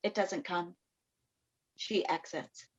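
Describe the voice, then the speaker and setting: A woman's voice: fairly low pitch, slow pace. A woman reading stage directions as scripted narration for a play over a video call. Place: indoors on a Zoom call. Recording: dull Zoom call audio.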